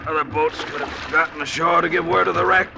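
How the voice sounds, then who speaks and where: A man's voice: fairly high pitch, fairly even intonation, a little fast, gravelly. An actor playing a dutiful, plain-spoken, laconic seaman in an old-time radio drama. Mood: dutiful, tired. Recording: noisy old radio recording, boat sounds.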